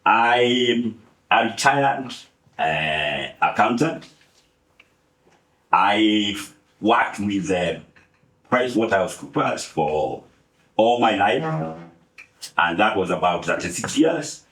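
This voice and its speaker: A man in his seventies from Kenya, giving a relaxed, humorous account of his career with many pauses. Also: fairly low pitch, echoey room, poor audio. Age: 70s. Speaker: guest speaker. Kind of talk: relaxed storytelling talk.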